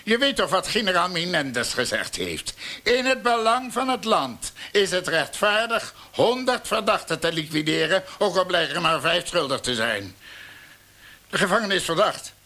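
A man's voice: high voice, big pitch swings, moderate pace, pushed and bright, full character voice. An actor playing a domineering, scornful army colonel in a radio drama, blustering and mocking as he commands. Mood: scornful, commanding.

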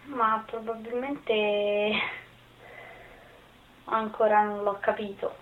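A woman's voice: mid-range voice, flat delivery, slow pace. A woman in her early twenties giving short, informal interview answers. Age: 20s. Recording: thin, echoey phone-like sound.

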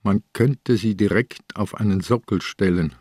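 An old, ailing police inspector in a radio play. His voice is low and closely recorded without room sound. He probes bluntly, with a dry, stubborn edge. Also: measured pace, a little mellow, husky, no room sound. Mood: brooding, suspicious. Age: older. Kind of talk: radio drama acting.

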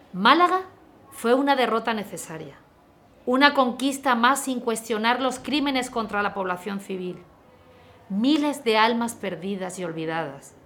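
An actress playing a grave teacher who speaks to her pupils in a measured, solemn monologue. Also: fairly high pitch, a bit bright, slight background noise. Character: grave woman teacher reflecting on forgotten war crimes.

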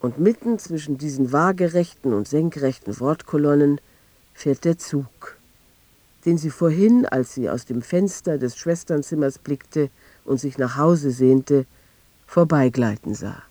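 An actor narrating a literary radio drama as a detached observer, measured and mellow. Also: male voice, on the high side, no room sound, natural voice. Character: detached male narrator noting times, places and people.

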